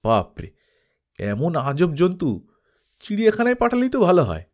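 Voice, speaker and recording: A man's voice: on the high side, huge pitch swings, moderate pace, darkish tone, voice pushed up. A man voicing a grumbling, cocky, mocking male adventurer in a dramatised audiobook.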